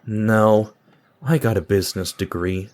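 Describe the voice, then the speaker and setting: A man's voice: lowish voice, a little slow, a little mellow, lightly acted. A man playing a bland, awkward guy in his twenties in an audio drama, with a hesitant, earnest manner. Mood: reflective. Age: 20s.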